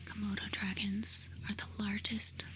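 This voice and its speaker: A woman doing soft-spoken ASMR, reading facts aloud in a hushed, soothing, breathy whisper. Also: mid-range voice, monotone. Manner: slow, hushed, soothing delivery with short phrases. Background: music.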